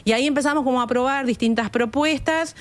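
A woman's voice: sits high, quick pace. A woman, a guest on a radio interview, eagerly telling how a project began. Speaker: librarian guest.

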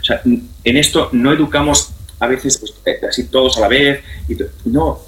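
A man's voice: mid-range voice, steady tone, moderate pace, fairly bright tone. Middle-aged Spanish man in an echoey room. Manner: didactic, repeats phrases for emphasis. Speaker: guest speaker on family and sex education. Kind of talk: conversational explanatory interview talk. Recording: roomy, echoey sound.

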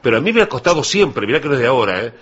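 A man with a Uruguayan Spanish accent, a late-night radio host talking in an animated, conversational way. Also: medium pitch, rapid-fire, breathy edge, muffled recording. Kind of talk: casual radio chat. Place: radio studio.

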